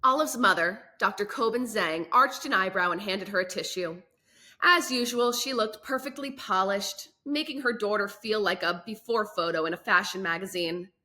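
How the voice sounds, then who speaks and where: A woman reading a children's book aloud as a host, with expressive, enunciated storytelling and emphatic phrasing. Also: medium pitch, moderate pace.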